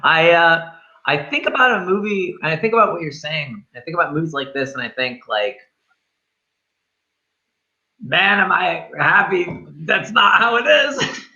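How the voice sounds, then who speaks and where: Bright-voiced man chatting about films. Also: a little high, lively intonation, a little slow, vocal fry, dull audio. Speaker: film review show host. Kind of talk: casual movie review chat.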